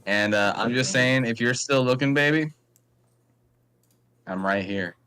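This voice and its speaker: A man's voice: medium pitch, a little slow, bright, forceful tone, clean tone. A man chatting casually and playfully as a podcast co-host, with some swearing. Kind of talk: casual, joking chat.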